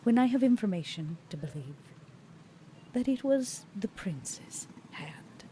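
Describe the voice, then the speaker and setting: A woman voicing a composed, guarded female vampire, speaking slowly and in a measured way, often half-whispered. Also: mid-range voice, big pitch swings, mellow, a little hiss or hum.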